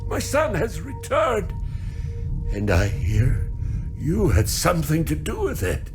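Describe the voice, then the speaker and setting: An actor playing a weary, regretful older father in a game cutscene, gravelly and wistful. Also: a little high, huge pitch swings, slow pace, over music, exaggerated voice. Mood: guarded. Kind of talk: video game cutscene acting.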